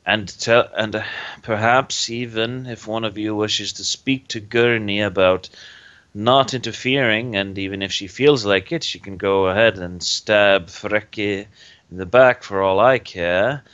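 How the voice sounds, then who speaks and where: Slow, deep-voiced man, formal roleplay. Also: a bit bright, clear, resonant tone. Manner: measured, formal in-character speech. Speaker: player. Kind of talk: in-character tabletop roleplay. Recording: dull lo-fi recording.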